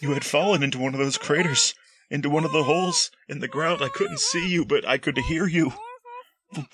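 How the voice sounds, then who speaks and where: An actor playing a middle-aged, condescending scientist husband in a 1940s style radio drama, with a melodramatic delivery. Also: male voice, a little high, natural voice. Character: cold, contemptuous scientist husband unravelling into panic.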